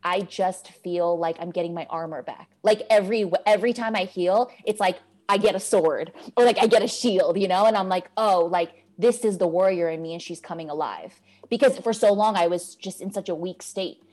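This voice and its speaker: A woman's voice: on the low side, normal speed, few pauses, a little mellow. Passionate, emphatic woman from New York. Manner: passionate, emphatic, spiritual self-help talk. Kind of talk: casual podcast conversation.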